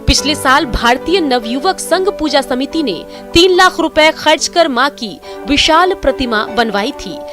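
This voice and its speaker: A woman delivers a scripted news voice-over. Her voice sits high for a woman, and music plays clearly behind her words. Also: animated, measured pace, hardly any pauses.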